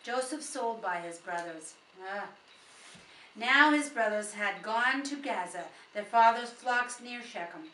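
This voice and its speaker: A woman's voice: a little high, animated, unhurried, bright, forceful tone. A woman reading scripture aloud with informal asides, recorded at a distance in a roomy space with faint noise. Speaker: Bible reader.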